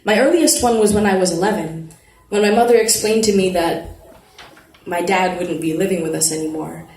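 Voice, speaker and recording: A woman giving a dramatic live reading of a first-person novel, conversational and expressive, like a playful teenage narrator. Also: lowish voice, moderate pace. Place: live literary event at an arts festival. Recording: roomy live venue, background noise.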